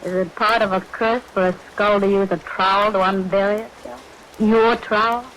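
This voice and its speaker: A woman's voice: mid-range voice, steady tone, moderate pace, a bit bright, close to an everyday voice, rough recording. Commanding, scheming woman an actress, old radio thriller. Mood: cold, accusing. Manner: insistent, clipped, interrogating. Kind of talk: vintage radio thriller acting. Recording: vintage radio recording, hiss, rain behind.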